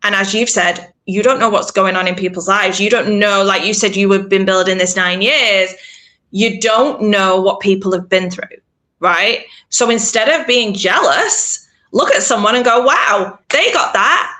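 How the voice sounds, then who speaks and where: A woman with a bright, clear voice talks through an interview at a lively clip. She is emphatic and animated, slipping into little acted-out voices for her own thoughts. Middle-aged, casual and direct. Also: medium pitch, unhurried. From England. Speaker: PR consultant guest.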